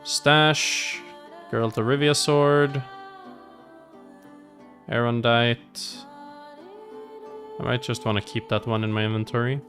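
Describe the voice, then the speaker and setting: A man's voice: mid-range voice, level tone, slow pace, pauses often, a bit bright. A man giving casual gameplay commentary as a streamer, musing aloud to himself as he plays. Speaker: game streamer. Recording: voice over game music. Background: game music.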